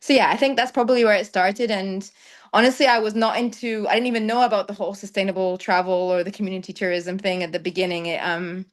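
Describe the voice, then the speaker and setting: A woman's voice: mid-range voice, fairly even intonation, fast. Woman from the Netherlands, hesitant chat. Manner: thoughtful, with many ums and soft laughs. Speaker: guest, travel content creator. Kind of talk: conversational interview.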